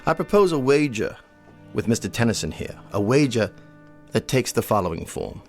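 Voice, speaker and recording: An actor playing an austere older gentleman colonel in a radio drama, speaking formally and deliberately with cutting, cold contempt. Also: mid-range voice, very animated, faint music behind. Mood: grave, formal.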